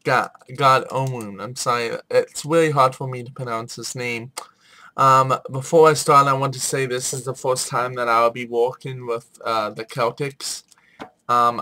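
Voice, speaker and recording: A man's voice: mid-range voice, bright, forceful tone. A man hosting a casual explainer video while he has a head cold and a sore throat, talking in an informal, apologetic way. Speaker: video host.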